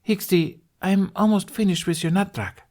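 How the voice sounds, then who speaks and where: A man's voice: high-pitched, normal speed, a little mellow, voice pushed up, natural voice. A man voicing a kindly, easily amused widowed mother in an audiobook, with a gracious, breezy manner. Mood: warm, breezy. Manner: gracious, lightly dismissive of thanks. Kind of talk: fan audiobook character voice.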